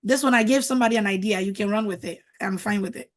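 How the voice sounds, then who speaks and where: A woman's voice: medium pitch, quick pace. A middle-aged woman whose first language is French, giving a motivational talk as a marriage coach, emphatic and preachy, repeating words for stress.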